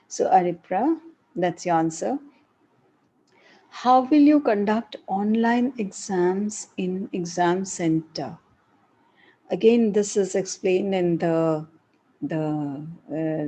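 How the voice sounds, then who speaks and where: Breathy-voiced Indian woman professor. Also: fairly low pitch, dark tone. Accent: Indian English. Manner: explanatory, repeats points with hesitations. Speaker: professor answering student questions. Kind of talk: live online Q&A session.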